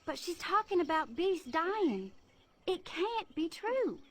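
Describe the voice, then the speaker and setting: A spirited young heroine in a video game. Her voice is high and full of feeling. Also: sing-song, takes it slowly, full character voice. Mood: worried. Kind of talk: video game cutscene acting.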